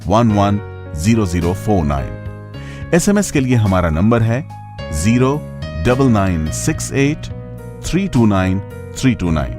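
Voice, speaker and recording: Animated male radio host. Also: on the low side, unhurried, pauses often, over music. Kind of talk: radio magazine presenting.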